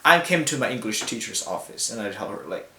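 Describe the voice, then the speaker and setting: A man's voice: lowish voice, sing-song, sharp tone. Young man, echoey hall. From China. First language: Mandarin. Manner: reflective, halting with fillers and self-corrections. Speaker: speaker giving a talk. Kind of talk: personal public talk.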